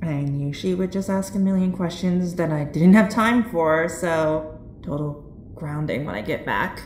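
A woman voices a teenage girl hero, direct and supportive, with a slightly low voice. Her chatty audio drama delivery rings in a roomy, echoing space. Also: measured pace, darkish tone, slight background noise. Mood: frank, friendly.

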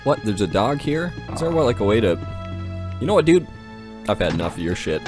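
Male gaming host, low voice with lively inflection. Casual, joking, profane commentary over game music. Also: fairly quick. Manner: sweary, joking, slangy.

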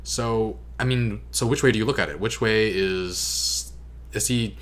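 A young man giving casual reaction commentary as host, speaking earnestly and haltingly. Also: fairly low pitch, fairly bright tone, slight background noise. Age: young adult. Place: small bedroom, indoors. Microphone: desk microphone.

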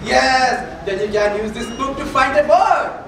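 A man's voice: extremely high pitch, lively intonation, measured pace, vocal fry, full character voice. A young Indian-accented man playing an earnest, exasperated dictionary salesman in an amateur comic stage play, speaking emphatically. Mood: patient, hesitant. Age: young adult. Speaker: student stage actor. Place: auditorium stage, indoors. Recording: echoey noisy auditorium, distant microphone.

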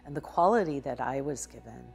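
A woman minister giving a contemplative devotional teaching in a living room, with measured delivery and occasional hesitations. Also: extremely low pitch, lively intonation, creaky, soft background music. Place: living room with a sofa, indoors.

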